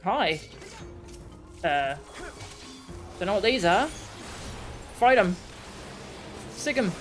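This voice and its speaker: Slow-paced woman streaming a game. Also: mid-range voice, animated. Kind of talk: casual live game commentary, reacting. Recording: game music behind the voice. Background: game music and character voice lines.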